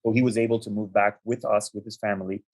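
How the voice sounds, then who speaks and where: A young adult man from the United States, an interview guest, telling his life story in a measured, reflective way with frequent ums and restarts. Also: on the low side, little pitch movement, a little mellow. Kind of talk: conversational storytelling.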